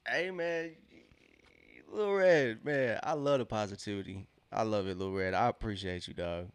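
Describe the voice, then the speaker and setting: A man's voice: fairly low pitch, moderate pace, clean tone, dry recording. A man reacting live on a stream to a game, stuffy and nasal from congestion, slangy and exasperated, repeating himself and laughing between lines. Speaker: watch-along streamer.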